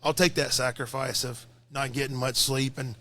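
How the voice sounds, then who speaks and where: A man's voice: medium pitch, little pitch movement, normal speed, sharp tone. A tired man with a slightly crackly voice, speaking earnestly and reflectively as a guest in a casual podcast conversation. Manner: earnest, reflective, repeats phrases.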